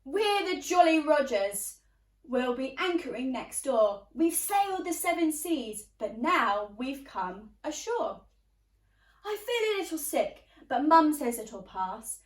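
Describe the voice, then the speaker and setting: A woman's voice: sits high, sing-song, takes it slowly, heavily acted. A woman voicing a cheerful, boastful young pirate boy in sing-song rhyming sea-dog talk for a storybook. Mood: excited. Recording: roomy, distant microphone.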